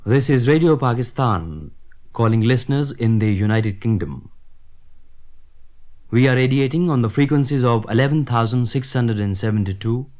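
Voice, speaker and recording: A male radio announcer reads in a formal, measured way. His mid-pitched voice comes through a dull, band-limited archival shortwave recording from the 1960s. The delivery is scripted station continuity. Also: lively intonation, a little mellow. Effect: shortwave radio broadcast.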